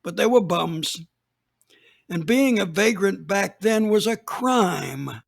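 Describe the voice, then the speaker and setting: A gravelly 85-year-old police chief. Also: male voice, high-pitched, expressive, loud music behind the voice, exaggerated voice. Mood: reminiscing. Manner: slow storytelling with emphatic repeats. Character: 85-year-old retired male police chief, boozy and rambling. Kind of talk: radio drama acting.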